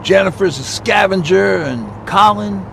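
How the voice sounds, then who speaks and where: A man's voice: high voice, measured pace, gravelly, sharp tone, heavily acted. A man voicing a practical, level-headed leader of survivors in a video game. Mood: composed. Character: steady, practical male leader of survivor band. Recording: vehicle and outdoor noise behind.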